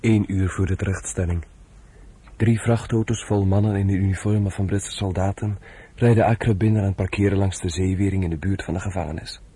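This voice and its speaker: A man with a husky voice narrating a radio drama in a factual scripted style. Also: low-pitched, fairly even intonation, fairly quick, mellow.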